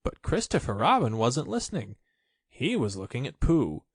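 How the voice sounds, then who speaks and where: A man reading a children's story aloud as an expressive audiobook narrator. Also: mid-range voice, normal speed, no room sound, clean studio sound.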